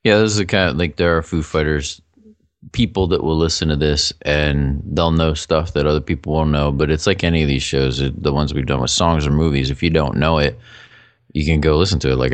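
A middle-aged man with a low voice, co-hosting a casual podcast chat in a chatty, rambling way. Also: fairly even intonation, a little fast, dry recording. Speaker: podcast co-host.

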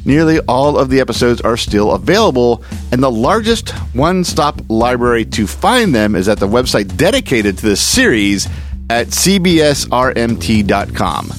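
A man's voice: mid-range voice, very animated, moderate pace, faint music behind. A man hosting a podcast, wrapping up the episode in an upbeat, chatty way. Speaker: podcast host. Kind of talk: casual podcast outro.

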